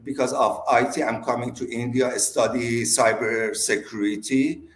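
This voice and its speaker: A man from Iran with an Iranian accent, a native Persian speaker giving interview answers in halting, broken English. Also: mid-range voice, steady tone, a little hiss or hum. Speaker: interview guest. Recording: roomy echo.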